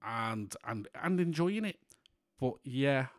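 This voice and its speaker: A man from in England co-hosting a podcast in casual chat, with a monotone voice and a rambling way of talking full of restarts. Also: medium pitch, takes it slowly, no room sound, clean studio sound. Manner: rambling, many restarts and fillers. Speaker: podcast co-host.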